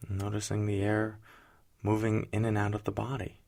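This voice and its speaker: A man with a notably deep voice leads a guided meditation. His delivery is soothing and unhurried, with gentle pauses, and a pronounced vocal fry runs through his speech. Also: completely flat delivery.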